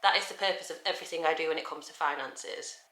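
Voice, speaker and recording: Candid woman with a low, bright voice. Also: fast. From England. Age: 30s. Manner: chatty and candid, lively shifts in pitch. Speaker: vlogger. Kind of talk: casual sit-down vlog. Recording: echoey room.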